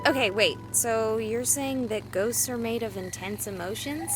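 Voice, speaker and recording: A woman's voice: fairly high pitch, unhurried, close to an everyday voice. A woman voicing a candid, wry young woman in a visual novel, conversational and a little guarded. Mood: curious, wry. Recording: music and night insects behind. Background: music, crickets and frogs.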